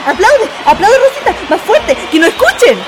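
A woman's voice: very high-pitched, sing-song, moderate pace, rough recording. A woman playing a lovestruck, dreamy young Chilean woman in a radio play, sighing and gushing theatrically. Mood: excited. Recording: music and crowd noise behind. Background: band music and crowd.

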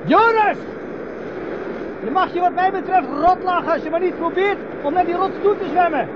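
A man's voice: very high-pitched, normal speed. An actor with a Netherlands Dutch accent playing a desperate man calling out, scolding and pleading, in a radio drama. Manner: shouting, pleading. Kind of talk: 1970s radio drama acting. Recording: dull old recording, noisy outdoor sea effects.

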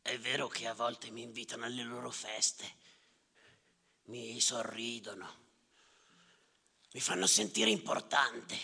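An actor delivering a theatrical monologue as a haunted, embittered official, in terse, repetitive, incantatory phrases with a gravelly voice. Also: male voice, medium pitch, a little slow, pauses often, bright, forceful tone, exaggerated voice. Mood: bitter, sardonic. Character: haunted, embittered male official narrating drownings at sea. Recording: reverberant theatre hall with live music.

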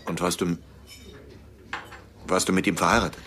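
An actor playing a protective American man who questions gently and informally in a radio drama. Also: low voice, little pitch movement, moderate pace, slight background noise. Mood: gentle questioning. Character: protective, probing American man named Tom.

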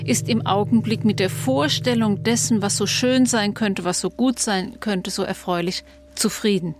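A woman giving a reflective explanation as an expert, thoughtful and pausing between phrases. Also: medium pitch, soft background music. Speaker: expert commentator.

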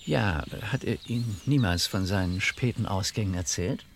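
An actor playing a courteous, probing police inspector in a radio crime drama, measured, discreet and quietly insistent. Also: male voice, fairly low pitch, gravelly, a little hiss or hum.

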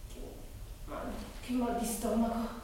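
A woman's voice: a little high, flat delivery, slow pace, distant mic. A woman playing a curious, outspoken teenage schoolgirl in a dramatic monologue, colloquial and incredulous. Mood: musing. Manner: colloquial, incredulous, self-questioning. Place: large reverberant room, distant mic. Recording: echoey hall from afar, noisy.

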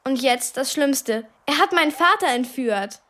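A woman's voice: sits high, animated, measured pace, fairly bright tone. An actress playing an earnest, urgent twelve-year-old girl in a radio play. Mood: anxious, earnest.

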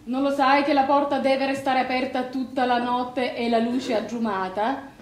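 Bossy Sicilian widow at a night wake, played by a woman, high voice, theatrical delivery, roomy sound. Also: flat delivery, normal speed, close to an everyday voice. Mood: scolding. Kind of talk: stage drama acting in dialect.

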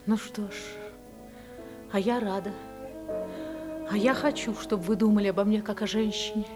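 A woman's voice: medium pitch, steady tone, normal speed, music in the background, close to an everyday voice. An actress in a radio play playing a passionate married woman in forbidden love, theatrical and yearning. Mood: bold, tender.

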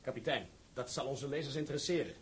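An actor playing an inquisitive male newspaper reporter in a drama, asking questions in a formal, brisk manner. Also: medium pitch, lightly acted. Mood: curious, polite.

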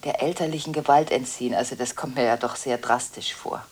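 An actress playing a volatile, contradictory school headmistress in a radio drama, talking restlessly on the phone, breaking off and repeating herself. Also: female voice, rumbling low, fairly even intonation, brisk, darkish tone, slight background noise. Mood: defensive, unsettled.

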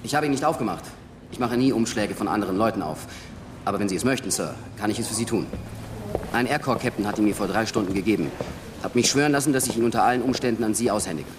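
A man's voice: on the low side, quick pace, natural voice. A man playing an eager, deferential yet cheeky young American army lieutenant in a radio drama. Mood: dutiful, polite. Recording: echoey hall with noisy ambience.

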